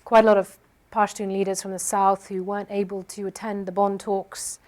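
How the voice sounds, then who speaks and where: A woman's voice: mid-range voice, flat delivery, normal speed, darkish tone. A woman speaking on a panel discussion, answering a question with many hesitations and restarts.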